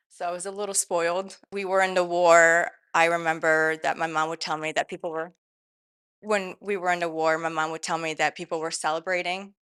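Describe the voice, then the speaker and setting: A young woman in her twenties, a guest at the roundtable, speaking softly and hesitantly with fillers. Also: sits low, smooth.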